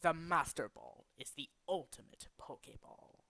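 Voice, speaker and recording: A man voicing a cold, megalomaniac villain boss in a dramatic, deliberate video game monologue. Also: on the high side, sharp tone, no room sound. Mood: cold, quiet, aloof. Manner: grandiose, deliberate, menacing. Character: cold, megalomaniac male villain boss bent on remaking the world. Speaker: amateur voice actor in demo reel.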